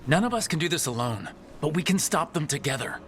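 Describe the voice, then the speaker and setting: A man's voice: a little high, expressive, fairly quick, a bit bright, faint music behind. A man playing an earnest young hero and party leader in a fantasy game, direct and plain-spoken. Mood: resolute.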